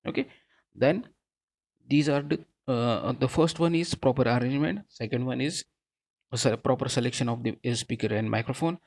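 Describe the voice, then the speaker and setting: A man with a noticeably creaky voice delivers a technical explanation as a lecturer would over slides. His delivery is halting and repetitive, punctuated by a frequent okay, at an ordinary middle pitch. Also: darkish tone.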